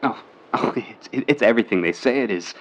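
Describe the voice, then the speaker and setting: An actor playing an earnest, boyish young sailor son in a period radio drama, speaking in a halting way. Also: male voice, mid-range voice, lively intonation, muffled recording, lightly acted. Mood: excited wonder. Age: young adult.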